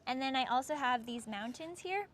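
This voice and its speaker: A woman's voice: high voice, expressive, normal speed, a bit bright. A young American woman explaining something casually, with an informal way of talking full of "like" and "sort of". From United States. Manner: informal, frequent 'like' and 'sort of'. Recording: clear background noise, ambient sound.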